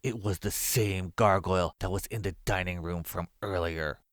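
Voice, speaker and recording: Deep-voiced man narrating a horror story. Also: steady tone, normal speed, a little hiss or hum.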